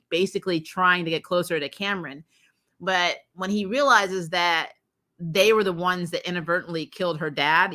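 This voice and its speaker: Bright, forceful woman reviewing casually, low-pitched. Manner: animated, exclaiming, acts out reactions, laughs often; informal slangy talk with frequent "like" and "you know". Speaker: host of a TV episode review show. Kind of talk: casual unscripted review, retelling scenes with acted quotes.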